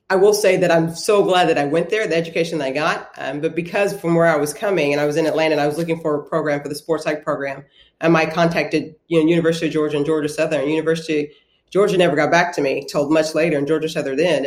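Woman from Ghana, interview guest, thoughtful, pausing, echoey room sound. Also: low voice. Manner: thoughtful, many ums and restarts. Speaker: guest, sports psychologist. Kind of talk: conversational interview answers.

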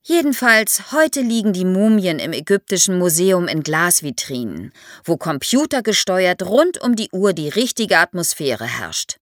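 A woman's voice: on the low side, big pitch swings, unhurried, no room sound, studio quality. A woman explains history to young listeners as an expert guest, in a didactic way, posing questions as she goes.

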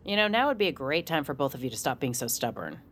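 A blunt, protective American woman who works in law enforcement. Her voice is very low for a woman, urgent and scolding. It is naturalistic audio drama with light ambience behind her. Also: very animated, fast talker, fairly bright tone, slight background noise, heavily acted. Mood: firm concern. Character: exasperated, protective, no-nonsense sister working in law enforcement.

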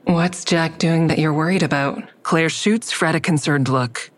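An actress playing an observant, wry counselor, narrating in the first person in a measured, introspective way. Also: female voice, low voice, dry recording. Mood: reflective, observant. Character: observant, wry female counselor narrating her own story.

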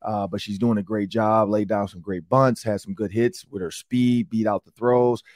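A middle-aged man with an Upper Midwest American accent hosts a sports talk podcast, his voice hoarse and raspy from yelling, and he tells stories in a rambling, excitable way. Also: fairly low pitch, normal speed, no room sound, studio quality. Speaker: sports talk show host. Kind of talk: casual podcast chat.

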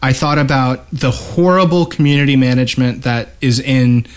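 A man's voice: medium pitch, fairly even intonation, normal speed, clear, resonant tone. A man hosting a podcast in an informal, conversational way, chatting with co-hosts and reading out sign-offs. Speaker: podcast host. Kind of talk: casual podcast banter and sign-off.

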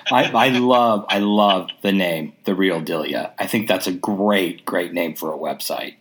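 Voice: low voice, a little slow. Enthusiastic interview host. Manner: enthusiastic, stumbling repetitions. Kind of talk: casual interview.

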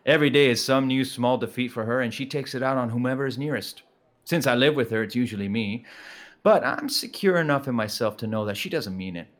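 A man's voice: medium pitch, fairly quick, natural voice. A man playing an earnest trainer-turned-star. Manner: earnest, eager, self-assured.

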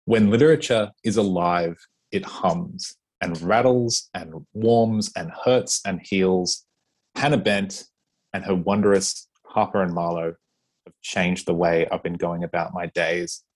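A man hosting a casual interview, chatty and relaxed, with lots of fillers. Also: deep voice, unhurried, low-quality recording. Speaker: podcast host interviewing an author.

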